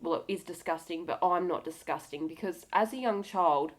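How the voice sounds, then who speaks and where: A woman's voice: fairly low pitch, moderate pace. A woman with a slightly nasal, cold-affected voice talking candidly to viewers in an earnest, direct and encouraging way. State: has a cold. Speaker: video blogger. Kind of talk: candid personal talk to camera.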